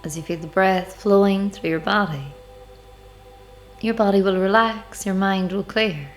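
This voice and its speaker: A woman leading a devotional guided meditation, speaking in measured, deliberate phrases with pauses. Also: medium pitch, fairly even intonation. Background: background music.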